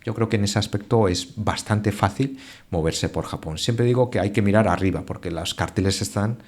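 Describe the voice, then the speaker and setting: A man's voice: fairly low pitch, normal speed, a little mellow. A man whose first language is Japanese, speaking as a guest in a podcast interview, thoughtful, with frequent hesitations and restarts. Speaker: translator and Japan expert guest.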